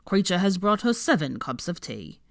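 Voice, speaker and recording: A woman's voice: on the low side, big pitch swings, moderate pace, vocal fry, dry recording, studio quality. A woman voicing a small, dutiful male servant creature who speaks deferentially and refers to himself in the third person. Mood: earnest, dutiful.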